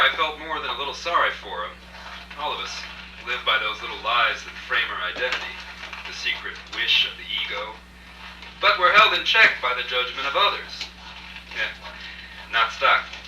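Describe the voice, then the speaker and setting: A man's voice: medium pitch, bright, forceful tone. A man playing a wry writer who narrates his own story in a radio play, confiding in the listener and putting questions to them. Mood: reflective, dramatic. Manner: confiding, rhetorical, addressing the listener directly. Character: wry out-of-work male writer turned rich storyteller. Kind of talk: live radio play, first-person dramatic narration. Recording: echoey distant live rehearsal, faint hum.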